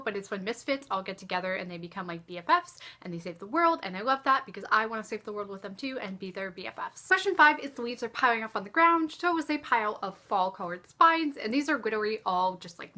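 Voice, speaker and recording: A woman's voice: fairly high pitch, sharp tone. A woman chatting casually to viewers as a book vlogger, with an enthusiastic, gushing, slangy way of talking.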